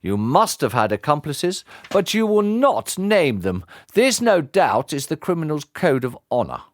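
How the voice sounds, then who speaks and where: A man voicing a stern, unsmiling old judge who lectures slowly and pompously. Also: fairly high pitch, big pitch swings, dry recording, pitched above the performer's own voice. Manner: slow, pompous, reproachful.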